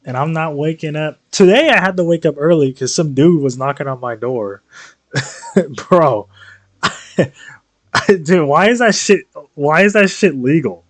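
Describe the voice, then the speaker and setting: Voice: medium pitch, unhurried, no echo. A streamer chatting casually while playing a game, rambling with sweary, jokey asides. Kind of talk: casual livestream chat and game reactions.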